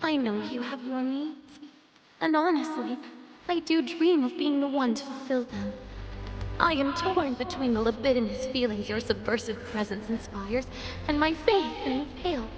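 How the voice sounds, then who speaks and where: A woman's voice: high-pitched, lightly acted. A woman playing a pious, restrained alien empress in a video game, speaking formally and eloquently with hidden yearning, over music. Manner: formal, eloquent, measured delivery. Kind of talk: dramatic video game voice acting. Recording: loud music behind the voice.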